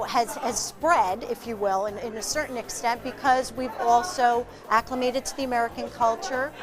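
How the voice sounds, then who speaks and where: A woman speaking reflectively in a documentary interview, often pausing on "you know". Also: sits high.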